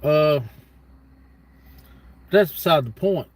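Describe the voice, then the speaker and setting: A man's voice: on the high side, takes it slowly, dry recording. A man in his fifties talking casually to livestream viewers in a rambling monologue, blunt and folksy, repeating points for emphasis. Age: 50s. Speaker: livestream host.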